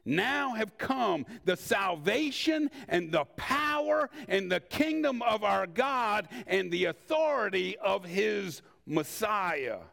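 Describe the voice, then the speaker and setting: An older man preaching in a conversational, storytelling way, with rhetorical questions and deliberate pauses. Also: high voice, big pitch swings, gravelly, pushed and bright. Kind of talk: sermon with personal anecdotes.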